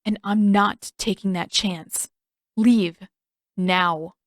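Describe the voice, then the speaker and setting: A woman's voice: mid-range voice, fairly even intonation, drawn-out words, dry recording, clean studio sound. A woman voicing a hostile, protective mother in an audiobook, with an icy, clipped, menacing delivery. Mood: fierce, threatening. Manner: icy, clipped, hissing threats. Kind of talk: audiobook character acting.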